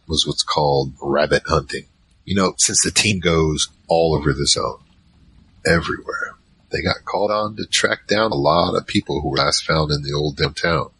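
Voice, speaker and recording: Audio drama narrator: male actor as easygoing troll mage, extremely low pitch, crisp bright edge, rambling slangy storytelling. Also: slight background noise, low-quality recording. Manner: casual, rambling, slangy asides. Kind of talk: conversational first-person drama narration.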